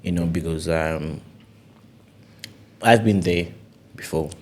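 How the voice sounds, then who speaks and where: A man's voice: sits low, slow pace, darkish tone. A young man talking earnestly and with animation in a casual podcast conversation, often saying "you know".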